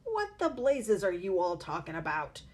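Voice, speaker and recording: A woman voicing a blustering, vain father who yells indignantly in a storybook reading. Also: medium pitch, huge pitch swings, normal speed. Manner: yelling, indignant. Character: blustering angry middle-aged father, vain car salesman. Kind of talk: read-aloud storybook acting.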